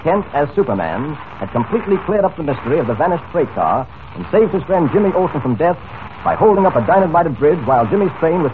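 Crackly tinny radio, grand announcer, dramatic phrasing, highish, sweeping intonation. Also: male voice. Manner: declamatory, dramatic, crisp phrasing. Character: booming, heroic radio serial announcer. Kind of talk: 1940s radio narration. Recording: noisy, tinny old radio recording.

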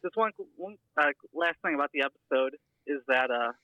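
A man's voice: fairly high pitch, lively intonation, brisk, bright, forceful tone, dry recording, highs cut off. A man leaving a casual, hesitant, rambling voicemail as a listener calling in, recorded over a phone.

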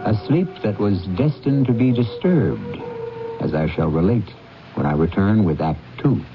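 An actor narrating a radio play as a grave storyteller, with measured, theatrical delivery. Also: male voice, on the low side, very animated. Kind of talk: dramatic scripted radio narration. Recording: muffled radio broadcast, loud music behind.

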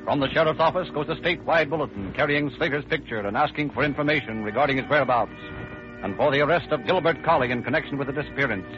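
A man plays an earnest American narrator of a vintage radio crime story, reading rapidly at a middle pitch. The sound is muffled, with faint music. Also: natural voice. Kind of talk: old-time radio scripted narration. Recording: muffled old radio recording.

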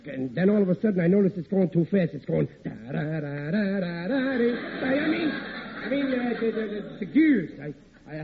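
An actor playing a blustering, sheepish working-class American husband, stammering through an old radio sitcom. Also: male voice, high-pitched, creaky. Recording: tinny, noisy vintage radio recording.

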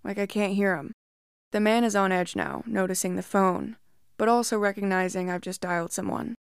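A woman reads a first-person creepy story at a calm, measured pace, her voice smooth and resonant. She sits close to the mic in a dry room with no echo around her. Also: medium pitch. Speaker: story narrator.

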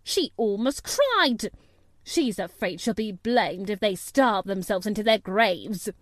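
A woman's voice: mid-range voice, expressive, slow pace, dry recording, studio quality. Woman putting on an indignant, scolding housekeeper. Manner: emphatic, exasperated, scolding.

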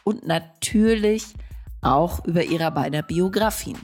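A woman's voice: lowish voice, a little slow, a little mellow, faint music behind. A woman hosting a podcast in a studio, reading an intro and then interviewing guests in a friendly way that explains things for listeners. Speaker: podcast host.

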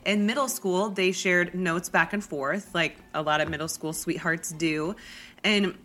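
A woman's voice: on the low side, fairly even intonation, measured pace, bright, forceful tone. Congested woman hosting a podcast. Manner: chatty, lots of likes and ums. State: congested with a cold. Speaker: podcast host. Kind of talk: true crime podcast storytelling.

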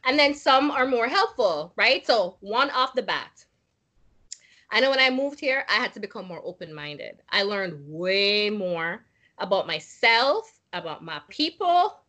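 A woman's voice: medium pitch, a little slow. A woman from Jamaica, casually discussing immigrant life with friends and asking the group questions. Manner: animated, acts out quotes, asks questions.

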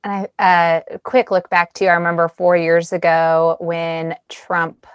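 A woman's voice: fairly low pitch, monotone, measured pace, clear, resonant tone, no room sound, highs cut off. A woman hosting a conversational news podcast, commenting and asking questions as an editor.

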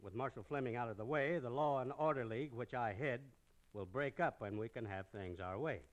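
An actor playing a calculating, authoritative villainous town leader in an old radio western. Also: male voice, medium pitch, brisk, lo-fi sound, natural voice. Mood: cold, scheming.

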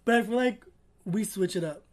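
A man co-hosting a podcast in casual chat, expressive and quick to laugh. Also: high voice. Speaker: podcast co-host.

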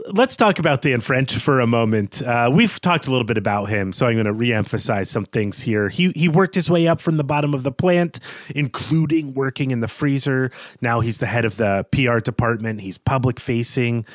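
Guy on a book podcast thinking out loud, analytical, medium pitch, close dry mic. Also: no room sound. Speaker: podcast co-host. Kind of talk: conversational literary discussion.

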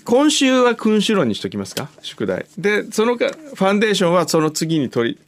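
Lively man chatting as a radio co-host. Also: high voice, moderate pace. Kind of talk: casual chat, reading listener mail.

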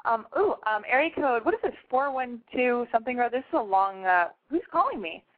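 A woman's voice: a little high, lively intonation. A woman in her twenties from Los Angeles, hosting a call-in advice show, frank and gushing. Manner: frank, gushing, talks fast. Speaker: call-in radio advice host. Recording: tinny phone-line sound.

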